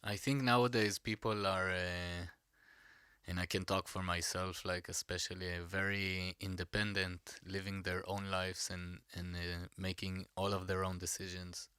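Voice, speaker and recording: A man's voice: sits low, little pitch movement, measured pace, sharp tone, clean tone, no room sound, clean studio sound. A man with an Israeli accent, a native Hebrew speaker, hosting a podcast in casual chat and rambling with many fillers and restarts. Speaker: podcast host.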